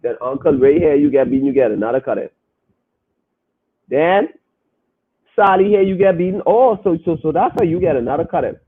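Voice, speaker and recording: A man's voice: a little high, lively intonation, a little fast, long pauses, husky. A man hosting a podcast, talking emphatically and preaching directly to his listeners as he reacts to their comments. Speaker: podcast host. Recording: phone-like, narrow band.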